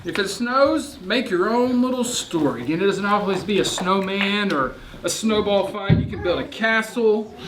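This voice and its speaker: A man's voice: sits high, animated, normal speed, few pauses, a crowd in the background. A man, playfully telling stories to young children and coaxing them with questions. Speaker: children's storyteller and teacher. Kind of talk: animated story time with young children. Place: indoor room with a group of children. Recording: echoey room with clear background noise.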